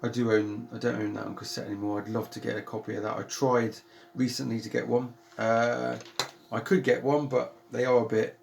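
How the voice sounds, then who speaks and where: Male, British accent, relaxed unboxing host. Calm, soothing delivery with a gravelly texture, chatty and rambling, with plenty of ums and restarts. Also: medium pitch, fairly quick, noisy background. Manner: rambling and chatty, with many ums and self-corrections. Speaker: vinyl collector and channel host. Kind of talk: casual unboxing chat.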